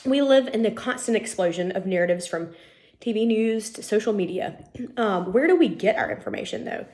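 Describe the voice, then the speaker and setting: A young woman teaching an online college class while nursing a cold, her voice a little sniffly. She talks to students in a loose, friendly way, dotted with "um"s, into a laptop mic at a middle pitch. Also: sing-song, normal speed, a little mellow. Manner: chatty, informal, many "um" fillers. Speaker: college lecturer. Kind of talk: recorded online lecture.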